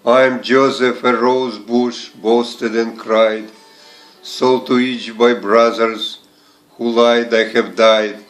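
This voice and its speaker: A man recites verse at a very unhurried pace, with a mid-pitched voice that stays level. He sets out each short phrase deliberately, leaving pauses between them. Soft guitar music plays faintly behind him. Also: level tone, poor audio. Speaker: poetry reader and translator. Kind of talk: slow, measured poetry recitation.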